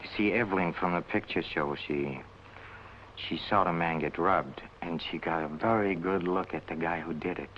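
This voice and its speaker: Deep-voiced, sly menacing blackmailer. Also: male voice, fairly bright tone. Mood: sly, insinuating. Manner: halting, repetitive, insinuating, mock-friendly. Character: sly menacing blackmailing businessman, oily and soft-spoken. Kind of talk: 1950 film noir acting. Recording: noisy old film soundtrack.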